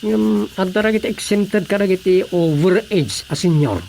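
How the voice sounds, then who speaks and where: A man's voice: high-pitched, moderate pace, creaky, a little mellow, a little hiss or hum. A man acting a folksy, exclamatory village councilor in a comedy radio drama, with an Ilocano accent. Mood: explaining.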